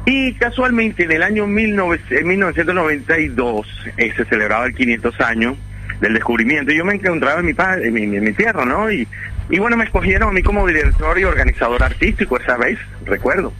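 A man's voice: fairly high pitch, a little fast, slight background noise, low-quality recording. A middle-aged man from Venezuela, an event organizer telling his story at length on a radio interview. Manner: rambling, animated storytelling with restarts.

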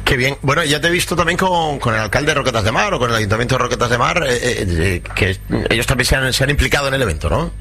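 Bright-voiced joking radio host man. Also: medium pitch, lively intonation, a little hiss or hum. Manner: chatty, joking, fast questions. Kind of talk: radio interview.

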